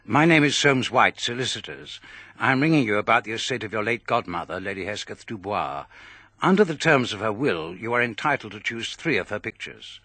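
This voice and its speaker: An actor playing a formal English solicitor with a clipped, businesslike manner in a radio drama. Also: male voice, on the low side, lively intonation, brisk, sharp tone, no echo. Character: formal, dry male solicitor on the telephone.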